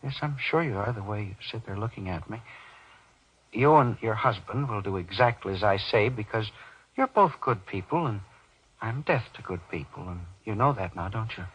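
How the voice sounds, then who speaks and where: An actor playing a menacing, power-drunk little man in radio horror, raspy and falsely polite, taunting with tag questions. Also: lowish voice, moderate pace, a bit bright, muffled recording. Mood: silky threat. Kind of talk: golden age radio horror acting. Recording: old radio drama sound.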